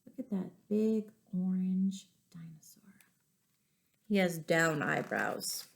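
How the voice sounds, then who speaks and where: A woman reading stories aloud to young children, expressive, pausing to ask them questions. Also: lowish voice, creaky. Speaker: children's storytime reader and teacher.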